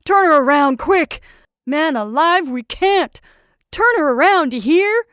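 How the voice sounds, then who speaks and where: A woman voicing a fussy, excitable, hot-tempered man in an audiobook, blustering and protesting. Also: on the high side, normal speed, clean tone, no room sound, clean studio sound, lightly acted. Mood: panicked anger. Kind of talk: audiobook character acting.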